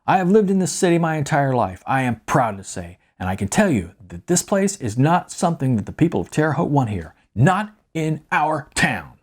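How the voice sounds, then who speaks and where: A man's voice: on the high side, sing-song, normal speed, few pauses, voice pushed up. A man voicing a pompous, self-righteous city councilman making an indignant speech in an audiobook. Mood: pompous indignation.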